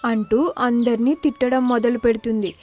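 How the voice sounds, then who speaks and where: High-voiced woman narrating a story. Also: steady tone, moderate pace, dark tone.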